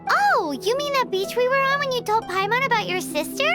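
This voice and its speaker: A woman playing a tiny, excitable, childlike fairy companion in an anime-style video game, with a squeaky voice. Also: fairly quick, over loud music. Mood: curious, chirpy. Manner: speaks of herself in third person.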